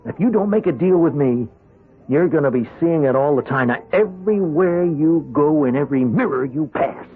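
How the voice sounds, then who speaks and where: An actor playing a pushy, cocky young small-time hoodlum in a radio drama, with an American accent and a wheedling, needling, slangy way of talking. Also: male voice, on the high side, animated, normal speed, phone-quality sound, natural voice. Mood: menacing. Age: young adult. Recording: band-limited radio drama recording with music.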